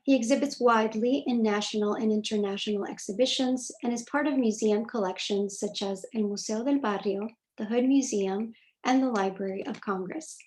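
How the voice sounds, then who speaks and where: A woman moderator opens a virtual conversation, reading a prepared script in measured, formal sentences. Her voice sits a little high. She comes through a video call. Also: a little mellow, rough recording. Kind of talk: scripted introduction for a virtual talk.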